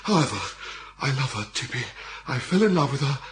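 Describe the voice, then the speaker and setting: A man's voice: medium pitch, very animated, a little fast, fairly bright tone, slight background noise. An actor playing a small, lovesick young Englishman in a radio comedy, hungover, stammering, melodramatic and full of self-pity. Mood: lovesick, despairing. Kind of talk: radio comedy drama acting.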